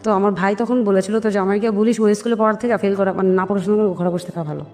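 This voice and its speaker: A woman's voice: mid-range voice, fairly even intonation, fast, darkish tone. A woman with a Bangladeshi accent telling her life story in an interview, speaking earnestly and emphatically and acting out remembered dialogue. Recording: long reverb, music behind. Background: music.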